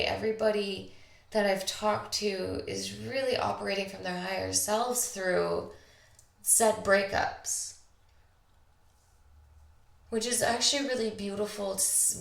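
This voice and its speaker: A woman hosting a solo spiritual podcast, talking intimately and reflectively with a slightly raspy voice and drawn-out pauses. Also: mid-range voice. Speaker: podcast host. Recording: echoey, roomy sound.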